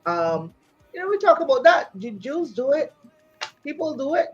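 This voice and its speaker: A woman from Barbados, a singer and entrepreneur, talking in an animated, casual chat full of "you know". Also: high voice, normal speed, highs cut off, faint music behind.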